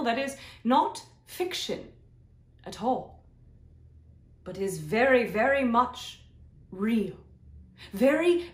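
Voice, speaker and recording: A woman voicing a benevolent, serene extraterrestrial guide in a guided meditation, with deliberate, hypnotic, repetitive phrasing and long pauses. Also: a little high, full character voice. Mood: mystical, measured. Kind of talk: slow channeled guided meditation. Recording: roomy echo, soft music behind.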